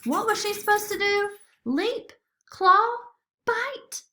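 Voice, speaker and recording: A woman's voice: extremely high pitch, animated, slow, bright, forceful tone, a little hiss or hum, voice pushed up, exaggerated voice. A woman voicing a plucky but anxious young female pig in a children's storybook, urgent and earnest. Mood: panicked.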